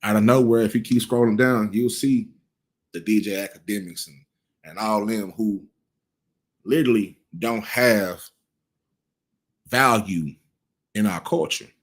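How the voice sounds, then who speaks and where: A man's voice: on the low side, a little slow, long pauses. A man in his thirties giving casual cultural commentary, blunt and profane, rambling with frequent restarts. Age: 30s.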